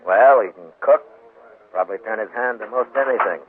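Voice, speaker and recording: An American man playing a dry, shrewd, unyielding frontier marshal in a 1950s radio western, speaking in a laconic, wry, deliberate way. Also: fairly low pitch, lightly acted. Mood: wry, needling. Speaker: lead actor in radio western. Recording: muffled, band-limited 1950s radio with echo.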